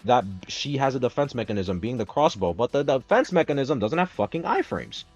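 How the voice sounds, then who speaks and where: A man's voice: mid-range voice, brisk, no room sound. A man hosting a gaming stream, arguing tier picks in a casual, profane, heated way. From Dominican Republic. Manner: animated, profane, argumentative ranting. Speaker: streamer host. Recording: slightly dull stream audio with music.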